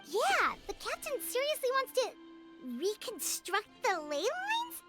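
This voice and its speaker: A woman voicing a small, excitable, childlike fairy companion in a video game, squeaky, chatty and dramatic. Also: measured pace, loud music behind the voice, exaggerated voice. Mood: curious, puzzled. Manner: exclamatory, eager. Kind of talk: animated game voice acting.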